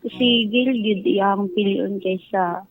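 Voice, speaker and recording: A woman's voice: mid-range voice, steady tone, takes it slowly. A Filipino woman with a Cebuano accent calling in by phone, giving her opinions casually and deferentially. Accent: Filipino, Cebuano-speaking. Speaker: phone-in caller. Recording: dry, muffled phone call over faint music.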